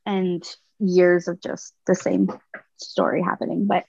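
A woman in her thirties from Canada, speaking as a podcast guest in a thoughtful, halting way with frequent restarts. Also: lowish voice, flat delivery, creaky, mellow, dry recording. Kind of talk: personal conversation. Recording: slightly muffled dry sound.

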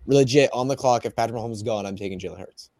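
A man's voice: medium pitch, quick pace, a little mellow, no echo. A man casually debating player rankings as an analyst on a sports panel. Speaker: fantasy football analyst on a panel.

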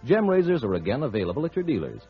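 A male radio announcer delivering 1940s-style commercial pitches and narration in a polished, persuasive manner. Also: sing-song, very fast, soft background music. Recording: muffled old radio broadcast recording.

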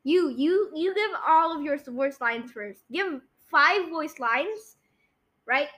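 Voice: high voice, animated, slow pace, bright, forceful tone. A young-sounding female podcast host chatting casually and running a guessing game.

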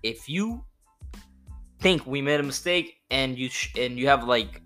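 Loud joking Dominican-born podcast host. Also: male voice, mid-range voice, lively intonation, a little slow, faint music behind. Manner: loud, profane, joking, laughs often. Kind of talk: casual podcast chat.

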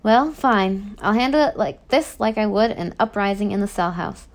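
A woman voicing a stern, angry middle-aged male prison warden in a storybook, lecturing children forcefully. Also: medium pitch, animated, normal speed, lightly acted. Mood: cold, disappointed.

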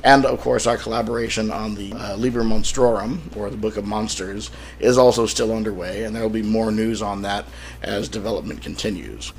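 Rough-voiced, creaky man hosting a podcast. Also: on the low side, steady tone, brisk, a little mellow, slight background noise. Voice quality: slightly rough, throat-clearing. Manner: wry, self-deprecating joking. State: sick, rough throat. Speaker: podcast host and author. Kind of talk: casual solo podcast monologue.

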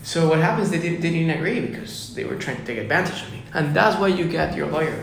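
A young man from Ecuador, a musician guest chatting casually on a podcast, excited and saying "dude" often. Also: on the high side, fast talker, gravelly, a little hiss or hum. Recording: very echoey room.

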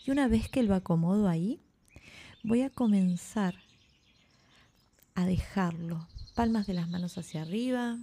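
Slow, mellow, creaky woman guiding relaxation. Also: medium pitch, slight background noise. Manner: soothing, unhurried instructions with long pauses. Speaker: yoga nidra instructor. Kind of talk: guided relaxation meditation.

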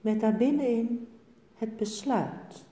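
A woman teaching yoga nidra, giving guided relaxation instructions in a calm, soothing, measured way with pauses between short phrases. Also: fairly high pitch. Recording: echoey room with long reverb.

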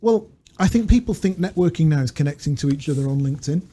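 A man's voice: on the high side, animated, fast talker, mellow, husky. A man co-hosting a podcast, reflective and storytelling, reading quotes into a studio mic. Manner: reflective, storytelling, laughs easily. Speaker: podcast co-host. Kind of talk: casual chat, reading quotes. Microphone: studio mic with pop filter.

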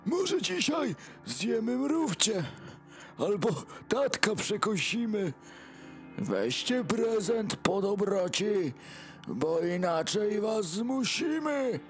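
Casting: sinister old Santa ghost for comic radio play, very high voice, slow threatening rhymes, swooping delivery. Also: male voice, very animated, faint music behind, full character voice. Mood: sly, coaxing. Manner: menacing, declaiming in rhyme. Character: menacing soul-eating old ghost posing as Santa.

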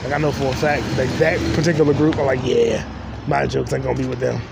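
A man's voice: mid-range voice, little pitch movement, fast talker, creaky. A young man hosting a podcast, riffing loosely in a rambling, joking solo monologue while walking outdoors. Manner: loose, joking, talks to himself. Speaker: podcast host. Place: outdoors on city streets. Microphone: phone recording while walking. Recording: street traffic noise behind.

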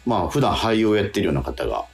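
A man's voice: sits low, very animated, quick pace, faint music behind. A man hosting a radio talk show in a studio, chatting casually with many fillers and easy nods.

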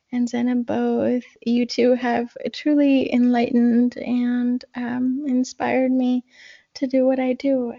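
A reflective woman from Pakistan, a guest in an interview, talks slowly with many pauses and restarts. Her voice sits slightly high but sounds mellow. The audio is dull and lo-fi, like a remote call. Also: vocal fry. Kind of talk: conversational interview.

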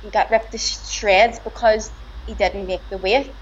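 A woman's voice: medium pitch, steady tone, moderate pace, husky. A woman with a broad Belfast accent, talking as a guest in a casual, hesitant conversational way. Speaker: guest, boxer's partner. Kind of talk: casual interview. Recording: slightly dull lo-fi sound.

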